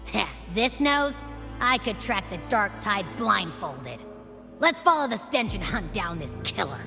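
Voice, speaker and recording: A woman's voice: medium pitch, sing-song, a little slow, creaky, long reverb tail, over music. A woman voicing a small, brash, boastful creature companion in a video game. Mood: cocky.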